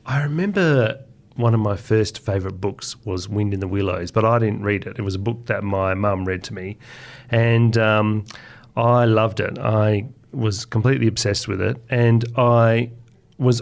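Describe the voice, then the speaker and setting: A man with a fairly low, creaky voice talks casually as a podcast guest. He thinks aloud, somewhat hesitantly, as he reminisces. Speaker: children's author, podcast guest. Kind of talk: casual interview chat, recalling memories.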